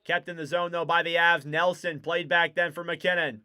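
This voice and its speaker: A young man doing live play-by-play for a hockey stream, excitable and rising to shouts on big plays, his voice wearing out. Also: on the high side, normal speed, clear, resonant tone. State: losing his voice. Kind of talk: play-by-play commentary.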